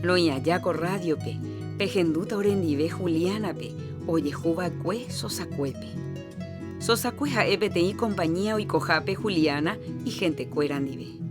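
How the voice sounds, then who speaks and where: Radio host lady reading a scripted health announcement, music clearly playing behind her. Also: fairly low pitch, measured pace.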